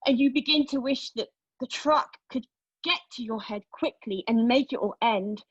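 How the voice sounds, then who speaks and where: A haunted young woman played by an actress delivers an intimate theatrical monologue in a husky voice. Her delivery is halting and intense, moving between hushed confession and sharper emphasis. The sound is close and dry, though a little dull and lo-fi. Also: a little high, dry recording. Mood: anxious, oppressed. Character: haunted young woman recounting a painful memory. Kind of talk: dramatic monologue read at a table read of a play.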